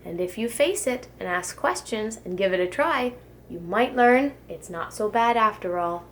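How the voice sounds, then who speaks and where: A woman, a preschool teacher, reads a children's storybook aloud in an expressive, sing-song rhyming delivery. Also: medium pitch, moderate pace, a little hiss or hum.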